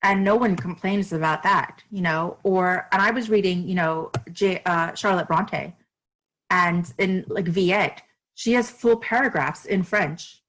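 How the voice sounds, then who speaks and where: A woman's voice: lowish voice, fairly bright tone, rough recording. A woman author from Miami, speaking earnestly and reflectively as a podcast interview guest, with fillers and restarts.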